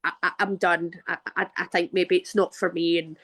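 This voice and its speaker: A woman's voice: fairly low pitch, little pitch movement, moderate pace, fairly bright tone. A Glaswegian woman in her thirties, an actress telling a story in a casual interview, chatty with frequent restarts. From Scotland. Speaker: guest actress.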